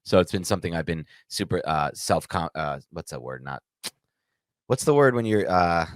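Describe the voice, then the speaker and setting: A man's voice: sits low, expressive, normal speed, dry recording, clean studio sound. A man co-hosting a podcast with a nasal voice, bantering and teasing in a jokey way and sometimes groping for words. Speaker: podcast co-host.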